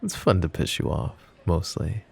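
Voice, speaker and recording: Man acting a very deep-voiced, gravelly mafia boss. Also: big pitch swings, a little slow, a little mellow, heavily acted. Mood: teasing, flirty. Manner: smooth-talking, teasing, pet names, sighs. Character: flirty, possessive mafia boss, teasing yet protective. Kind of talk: roleplay ASMR acting.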